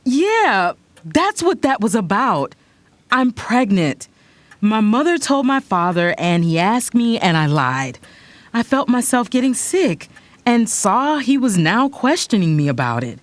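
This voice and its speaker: Actress as a flustered, very expressive young-mother-to-be. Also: fairly high pitch, unhurried, dry recording. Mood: guarded, pleading. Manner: confiding, dramatic, expressive. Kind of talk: first-person audio drama narration and dialogue.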